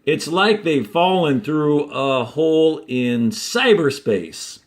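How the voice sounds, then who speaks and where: A man's voice: fairly high pitch, expressive, takes it slowly. A man voicing a stern, officious Attorney General in a storybook, with a curt, official manner. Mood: defensive.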